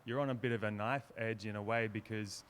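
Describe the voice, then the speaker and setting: A man's voice: fairly low pitch, fairly even intonation, a little fast, slight background noise. A man, explaining his work casually and thoughtfully as an interview guest. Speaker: theatre maker being interviewed.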